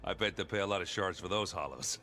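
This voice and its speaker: An actor playing a good-natured, ale-loving rogue in a video game, bluff and wry, with a hesitant, rambling way of talking. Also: male voice, low voice, quick pace, sharp tone. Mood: chatty, amused.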